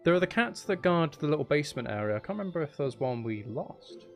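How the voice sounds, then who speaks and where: A man's voice: fairly high pitch, very animated, quick pace, creaky, soft background music. A man chatting casually as he hosts a live game stream, playfully mock-dramatic and self-deprecating with his viewers. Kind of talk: casual chatty gaming livestream talk with viewers.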